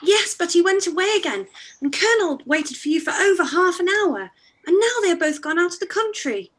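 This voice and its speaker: A woman voicing an excitable young girl in a radio play, gushing and breathless. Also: very high voice, moderate pace, voice pushed up, full character voice. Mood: excited. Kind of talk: live-read radio play.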